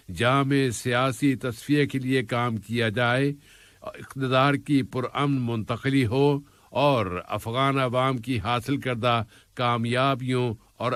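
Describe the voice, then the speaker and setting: A man reading a scripted news bulletin in a formal, measured, literary register. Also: mid-range voice, fairly bright tone, dry recording. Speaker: news reader.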